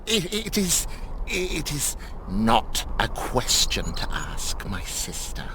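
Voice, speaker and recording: Giddy, simple monk, wildly swooping voice. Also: male voice, mid-range voice, background noise. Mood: flustered, evasive. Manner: excitable, halting, with wheezy giggles. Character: simple, nervous, giggling monk who loves birds. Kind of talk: video game voice acting.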